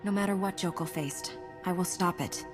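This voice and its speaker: A woman's voice: on the low side, moderate pace, lightly acted. A woman playing a resolute young warrior hero in a video game, speaking in an earnest, direct, composed way. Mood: determined. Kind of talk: video game cutscene acting. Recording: music under the voice.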